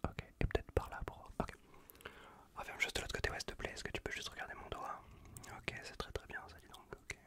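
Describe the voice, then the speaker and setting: Guy whispering asmr right up on the mic, bright breathy hiss, casual and reassuring exam roleplay. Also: a little fast. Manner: hushed, reassuring, repetitive, casual slangy talk. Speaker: ASMR performer playing an untrained medic. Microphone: close USB condenser microphone.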